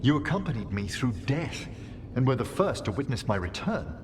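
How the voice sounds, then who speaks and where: Grave, courtly fantasy game companion played by a man, formal and solemn, widely moving pitch, some background noise. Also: very animated, natural voice. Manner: formal, measured, stately. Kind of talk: scripted fantasy game dialogue.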